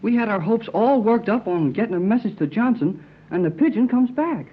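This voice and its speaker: An actor playing a plucky youngster with slangy, colloquial speech and a husky voice in an old-time radio serial. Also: mid-range voice, animated, fairly quick. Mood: grumbling, downcast. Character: spirited, slangy, plucky youngster named Jerry. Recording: muffled vintage radio recording.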